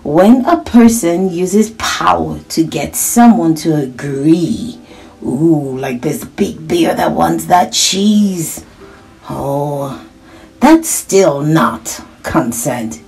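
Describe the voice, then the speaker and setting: Woman, children's story host, animated intonation, slow pace, mellow voice, encouraging, talks straight to young listeners, music behind. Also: deep voice, gravelly, rough recording. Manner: encouraging, addresses young listeners directly with check-in questions. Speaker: children's read-aloud host. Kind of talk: animated picture-book read-aloud for children.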